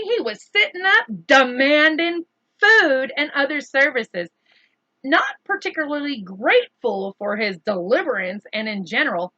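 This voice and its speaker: A woman storyteller reads to children at an unhurried pace. Her voice is bright and clear-cut, with expressive, deliberate phrasing, recorded dry and close. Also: a little high, no echo, lo-fi sound. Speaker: read-aloud storyteller for children. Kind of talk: children's chapter book read aloud.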